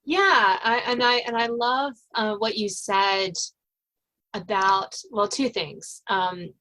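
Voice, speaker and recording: A woman's voice: medium pitch, fairly even intonation, slow, fairly bright tone. A woman hosting a podcast interview in a chatty, conversational way, often rephrasing as she goes. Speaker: podcast host.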